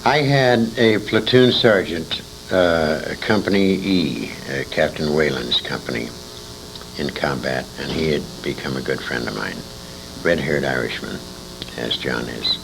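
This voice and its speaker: Older American male, very low gravelly voice, veteran storyteller, emphatic and dramatic, on a noisy amateur tape. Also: unhurried. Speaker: interviewee, war veteran and writer. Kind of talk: conversational war storytelling. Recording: noisy amateur recording with room ambience.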